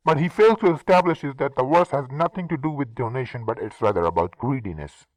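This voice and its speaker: Pakistani man, heated sarcastic rant, lively swinging pitch, dry close sound, swears freely. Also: no room sound, rough recording. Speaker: commentary channel host. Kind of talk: angry response commentary.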